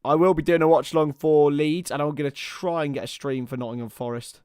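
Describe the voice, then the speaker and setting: Man, young, streamer, football watchalong, fairly high voice, clear, dry sound. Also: steady tone, brisk, a little mellow, no echo. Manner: chatty, jumps between match calls and banter with chat. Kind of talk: casual live commentary and chat with viewers.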